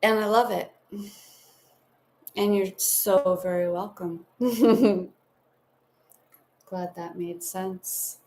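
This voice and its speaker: Woman tarot reader on a home live stream; slow, unhurried delivery with a gravelly edge; gentle and spiritual. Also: medium pitch, slight background noise. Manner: soothing, meandering, spiritual talk with affectionate asides.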